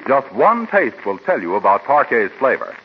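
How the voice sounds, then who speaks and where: A man's voice: medium pitch, very animated, moderate pace. A man giving a polished, emphatic 1940s radio commercial as an announcer. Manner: polished, emphatic salesman delivery. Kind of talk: 1940s radio advertising read. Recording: dull, noisy 1940s radio recording.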